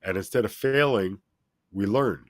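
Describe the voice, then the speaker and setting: A man with a very low, authoritative voice holds forth as a self-help guest. He addresses listeners directly and instructively, with emphasis on his points. The sound is dry, with little trace of a room. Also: unhurried, no room sound. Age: middle-aged or older. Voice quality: deep, authoritative voice. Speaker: talk show guest, self-help speaker. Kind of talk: motivational interview talk, speaking at length.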